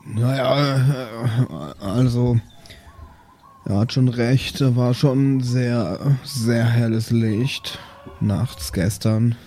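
A man's voice: mid-range voice, fairly even intonation, very slow, warm tone. A man role-playing in an improvised game, speaking in a sleepy, hesitant drawl. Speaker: player in a pen and paper audio show. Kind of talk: improvised in-character role play.

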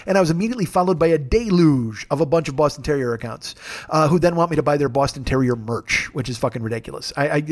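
Man, 40s, gravelly voice, higher pitch, podcast host, casual rambling monologue, self-mocking humor. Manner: self-deprecating, rambling, jokey.

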